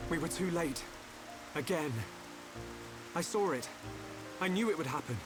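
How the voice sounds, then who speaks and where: A man playing an earnest, gentle but resolute young hero in a video game. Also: fairly high pitch, lively intonation, a little slow. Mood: anguished. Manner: earnest, sincere. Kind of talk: video game cutscene acting. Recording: music and rain behind dialogue.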